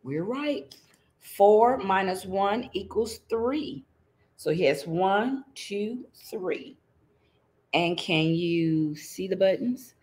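A woman's voice: mid-range voice, expressive, very slow, a little mellow. A middle-aged woman reading a picture book aloud to young children, teacherly and interactive, checking in with her listeners. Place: indoors in front of a green screen.

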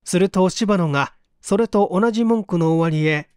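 A man reading a literary mystery story aloud as an audiobook narrator, with theatrical, expressive delivery. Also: high voice, moderate pace, smooth, no room sound, clean studio sound. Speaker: audiobook reader.

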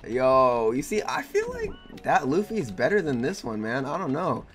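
Young male gaming host, voice going hoarse, excitable slangy play-by-play, light game music behind. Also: mid-range voice, normal speed. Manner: excitable, laughing, trash-talking slang. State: hoarse, losing his voice. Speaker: gaming YouTuber. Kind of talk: casual gameplay commentary.

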